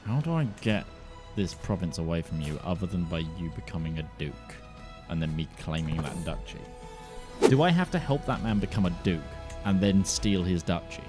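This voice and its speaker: A man casually narrating his own strategy game run, thinking aloud and swinging between flat musing and sudden excitement. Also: low voice, moderate pace, music in the background. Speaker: gaming YouTuber.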